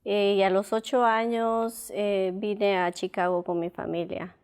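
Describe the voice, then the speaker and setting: A Guatemalan woman guest speaks with a Guatemalan accent. Her voice is smooth and clear, at a middle pitch. She answers her host briefly and politely. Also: flat delivery, measured pace, a little mellow. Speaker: guest musician. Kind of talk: interview answers.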